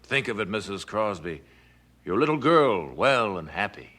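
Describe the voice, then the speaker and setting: An actor playing a reassuring, overconfident small-town physician in an old-fashioned radio drama, soothing with small hesitant hums. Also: male voice, fairly low pitch, sing-song, normal speed, a bit bright.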